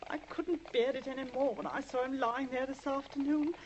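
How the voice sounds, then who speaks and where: A woman's voice: high voice, fairly even intonation, moderate pace, a little mellow, lightly acted. An actress playing a distraught, loyal elderly landlady, wailing and pleading in 1950s radio drama style. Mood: upset, pleading. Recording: muffled, noisy 1950s radio recording.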